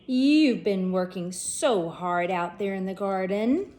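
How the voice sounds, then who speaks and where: A woman voicing a gullible male cartoon gardener, speaking high and fussy with lisped r's. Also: expressive, takes it slowly, a little mellow.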